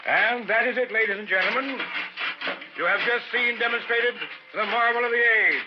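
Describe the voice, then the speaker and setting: An American actor playing a pompous, scheming carnival professor in old-time radio horror, with grandiloquent, commanding patter. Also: male voice, very high voice, normal speed. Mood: grand, hawking. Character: pompous, scheming carnival showman professor burying a man alive. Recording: muffled vintage radio recording with music.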